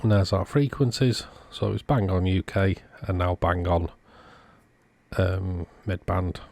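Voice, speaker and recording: A man with a fairly low voice narrates in a casual way, like a technician walking viewers through bench work. His delivery is informal and explanatory. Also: fairly even intonation, a little slow, creaky, darkish tone. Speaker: video host, radio repair technician. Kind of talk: casual narrated repair commentary.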